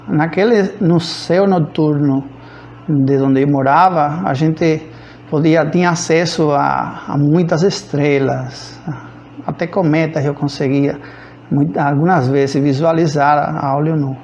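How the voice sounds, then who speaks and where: Male professor, about 50, Cuban accent, Spanish native. Slightly high, creaky voice, informal storytelling with searching pauses. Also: a little mellow. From rural central Cuba. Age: around 50. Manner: hesitant, searching for words with frequent pauses. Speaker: physics professor. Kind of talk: informal autobiographical account.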